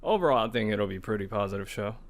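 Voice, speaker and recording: A man's voice: fairly low pitch, lively intonation, racing. Casual, rambling male podcast co-host. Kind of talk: casual chat about video games.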